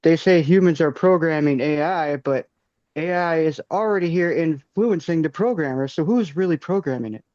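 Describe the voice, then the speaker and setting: A man in his forties, a podcast guest, speaking casually with soft hesitation and frequent ums. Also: fairly high pitch, normal speed, dry recording, muffled recording. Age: 40s. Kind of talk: casual interview chat.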